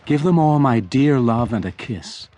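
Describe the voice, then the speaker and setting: A middle-aged actor playing a loving, earnest father who voices his letter home in a radio drama, with a husky tone. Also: mid-range voice, animated, measured pace, warm tone, lightly acted. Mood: tender, earnest.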